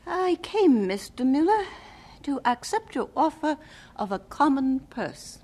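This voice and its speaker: An elderly, well-to-do widow with a very high, lively voice. She is genteel and deliberate, a devout and determined lady in an audio drama who finds young people rather amusing. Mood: earnest, knowing. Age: older.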